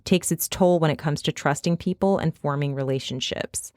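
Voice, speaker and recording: Low-voiced chatty woman podcaster. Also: moderate pace, a little mellow, no echo, studio quality. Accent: Midwestern American. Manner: chatty, laughs often, reads quotes aloud. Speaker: podcast host. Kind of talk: casual podcast storytelling.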